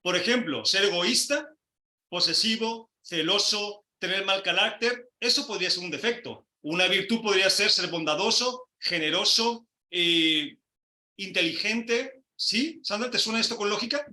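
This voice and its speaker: A man's voice: sits high, moderate pace, sharp tone, roomy sound. A man hosting a casual panel discussion, putting questions to each guest and backing them with short "ujú" sounds. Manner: leads with questions, frequent "ujú" backchannels. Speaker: host.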